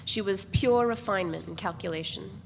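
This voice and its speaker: A woman's voice: mid-range voice, fairly quick, creaky. Woman narrating a novel in an echoey hall. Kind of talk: literary narration, dramatic reading of a novel. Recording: noisy, reverberant hall recording.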